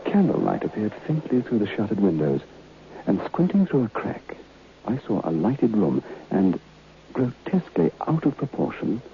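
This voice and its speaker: An actor playing a haunted, curious former student who narrates a vintage radio horror tale in a deliberate, literary, brooding way. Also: male voice, on the low side, sing-song. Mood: intrigued, hushed. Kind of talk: dramatic first-person horror narration. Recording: muffled, noisy old radio recording.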